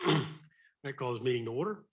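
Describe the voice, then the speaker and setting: A man with a slight cold and a raspy voice, chairing a committee meeting in a plain, somewhat halting manner. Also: medium pitch, lively intonation, noisy background. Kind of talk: formal meeting procedure.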